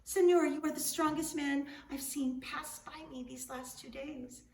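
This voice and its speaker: A woman voicing an urgent trickster man in a storybook, pleading and flattering in a shaky, straining, breathless way. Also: extremely high pitch, unhurried, vocal fry, pitched above the performer's own voice, heavily acted. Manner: pleading, flattering, near yelling. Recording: roomy, distant mic, light noise.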